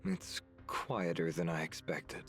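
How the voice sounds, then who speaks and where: A man's voice: low-pitched, very animated, slow, heavily acted. A man voicing a cheerful young warrior in a video game, easygoing and teasing. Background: game music.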